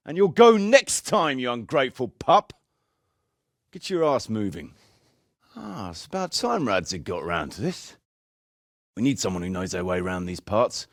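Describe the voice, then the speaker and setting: An actor playing a seasoned medieval mercenary captain in a video game, gruff, bossy and wry, with a coarse, commanding, colloquial way of talking. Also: male voice, sits low, no echo, studio quality. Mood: barking orders, then gruff, businesslike. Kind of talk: video game character voice acting.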